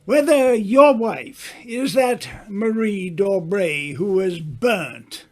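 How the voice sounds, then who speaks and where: A man playing a worldly, self-assured older writer in an old-time radio mystery, speaking grandly with teasing, dramatic pauses. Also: sits high, darkish tone.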